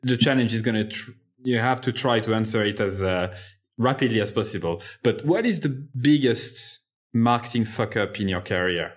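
French-accented male podcast host; casual and laughing, a little halting. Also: lowish voice. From France. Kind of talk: podcast interview.

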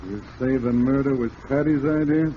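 An actor playing a dry, probing police detective in a 1940s style radio drama. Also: male voice, medium pitch, fairly even intonation, normal speed, close to an everyday voice. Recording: muffled, noisy old radio recording.

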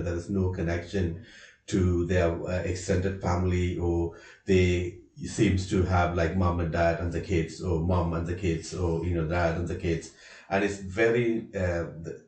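Sri lankan guy in his 50s, very deep voice, sits back from the mic, roomy echo. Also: a little mellow, recorded from a distance. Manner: earnest, with "uh" and "you know" fillers. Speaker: children's support worker. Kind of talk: podcast panel discussion. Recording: echoey room, away from the mic.

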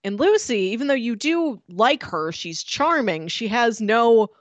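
A woman's voice: a little high, lively intonation, measured pace, dry recording. A woman co-hosting a casual book-club podcast, chatty and laughing between remarks. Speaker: podcast co-host.

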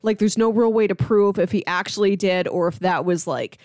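A woman's voice: medium pitch, fairly quick, a little mellow, dry recording. Casual woman podcaster, close dry sound. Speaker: podcast co-host. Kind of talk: casual comic and film discussion.

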